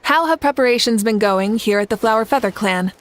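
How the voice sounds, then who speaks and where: A woman playing a dignified, caring female leader in a video game, speaking in a measured, assured way. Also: fairly high pitch, slight background noise, lightly acted. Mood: friendly. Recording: game music behind the voice.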